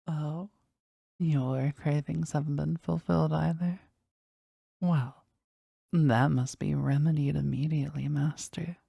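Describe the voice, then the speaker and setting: An actor playing a powerful, devoted demon bound to a sorcerer in an intimate romantic audio roleplay, speaking formally and tenderly in a breathy voice that often drops toward a whisper. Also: mid-range voice, fairly even intonation, mellow, no room sound, clean studio sound, full character voice. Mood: happy, adoring. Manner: formal, slow, tender, halting with emotion.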